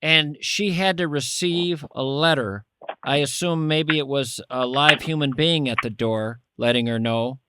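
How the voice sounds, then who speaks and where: A man's voice: fairly high pitch, measured pace, noisy background. An older man hosting a radio call-in show, playful and teasing, laughing often. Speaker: radio talk show host. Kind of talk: casual call-in chat.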